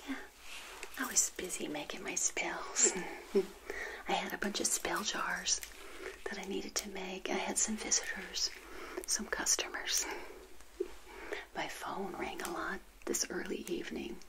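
A middle-aged woman whispering gently and intimately in an ASMR roleplay as a friendly witch hostess, close to the mic. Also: on the low side, low-quality recording. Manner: gentle, unhurried, intimate personal attention. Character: friendly witch hosting a tea party. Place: small indoor set, seated. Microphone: close to the mic, facing the camera.

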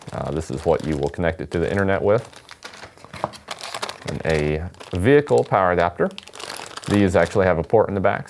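A man's voice: low-pitched, darkish tone, a little hiss or hum. A man, giving a casual unboxing demonstration as a product presenter. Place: indoor studio at a table.

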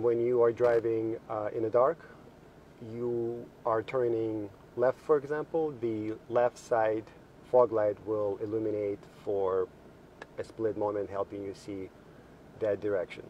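A man's voice: fairly low pitch, warm tone, dull audio, a little hiss or hum. A man working as a car dealership product specialist, walking viewers through a car's features from inside it, with plenty of ums and restarts. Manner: explains features with frequent fillers and restarts. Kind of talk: car walkthrough video. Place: inside a car in a parking lot.

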